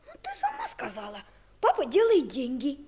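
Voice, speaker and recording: High-voiced whining little boy. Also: wildly swooping pitch, darkish tone. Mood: bored, whining. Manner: whiny, naive, drawn-out. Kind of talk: 1960s radio play acting.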